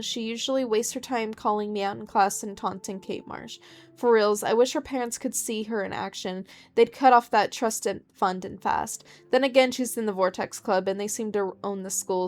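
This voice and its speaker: A woman hosting a gaming video, reading game text aloud and commenting in an informal, chatty way. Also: mid-range voice.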